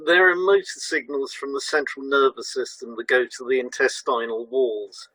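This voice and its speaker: A man co-presenting a scripted educational lesson, reading in a measured, formal way. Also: medium pitch, fairly even intonation, bright, forceful tone, slightly rough, no room sound, poor audio.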